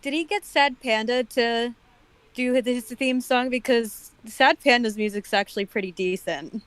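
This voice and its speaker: A woman's voice: a little high, normal speed, a bit bright, no room sound. A woman giving casual, wry and critical commentary as a guest on an online call.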